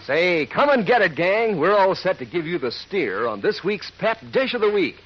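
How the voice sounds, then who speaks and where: A man's voice: high voice, sing-song, normal speed, muffled recording, over loud music. A man with an American accent reading a folksy, hearty 1940s radio advertisement in cowboy slang, on an old recording. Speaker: radio pitchman.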